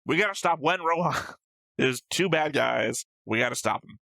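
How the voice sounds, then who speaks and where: A man's voice: a little high, animated, moderate pace, gravelly, bright, forceful tone, dry recording. A man talks through his thoughts as a reaction show co-host, in an analytical but casual way. He rambles, swears freely and reasons aloud as he goes. The sound is dry and close. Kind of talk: casual analytical chat about an anime episode.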